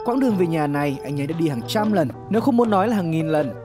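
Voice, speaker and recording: A man's voice: on the high side, expressive, fast talker. A man reads scripted horror story narration in a dramatic, suspenseful storytelling manner. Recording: music behind the voice. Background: background music.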